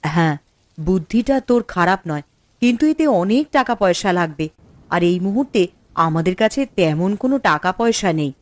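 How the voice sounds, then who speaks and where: A woman playing a responsible, earnest eldest sister in a cartoon, speaking in a measured, instructive way. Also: fairly low pitch, expressive, no room sound, natural voice. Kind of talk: Bengali cartoon story acting.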